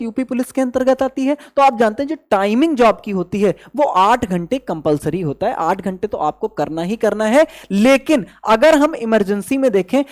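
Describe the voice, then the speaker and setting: High-pitched woman teaching. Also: a little mellow. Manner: repeats phrases and asks check-in questions. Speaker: exam coaching instructor. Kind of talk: explanatory online lesson with Hindi-English code-mixing.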